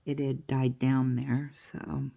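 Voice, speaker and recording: An older woman talks to her viewers in a slow, rambling way, her low voice carrying a gravelly edge. She sounds a bit stuffed up and laughs easily between her thoughts. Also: level tone, mellow. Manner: rambling, chatty, laughs often. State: stuffy nose. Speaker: crafting vlogger. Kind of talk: casual chatty vlog.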